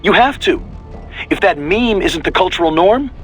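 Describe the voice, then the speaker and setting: An actor playing a philosophical mission advisor in a video game, explaining things emphatically. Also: male voice, high-pitched, lively intonation, moderate pace, a bit bright, faint music behind. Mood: explanatory, intense. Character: intellectual male mission advisor, animated, philosophical.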